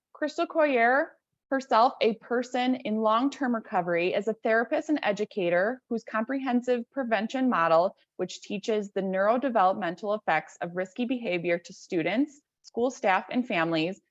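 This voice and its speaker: A woman's voice: medium pitch, dry recording. A woman hosting an online webinar, giving a prepared introduction that is partly read aloud over a video call. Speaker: webinar host introducing a speaker. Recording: slightly dull video-call audio.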